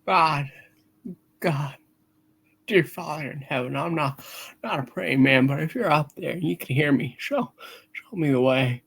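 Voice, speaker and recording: Woman playing desperate man, low husky pleading voice. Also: measured pace, pauses often, full character voice. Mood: broken, desperate prayer. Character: desperate praying man at the end of his rope. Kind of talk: hammy amateur radio play reading.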